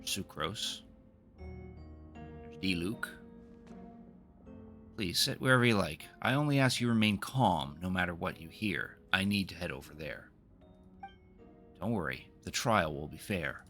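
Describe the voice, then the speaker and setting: A man's voice: sits low, expressive, unhurried, long pauses, pushed and bright, faint music behind. A man casually commenting on a video game as he streams it, sometimes reading its dialogue out.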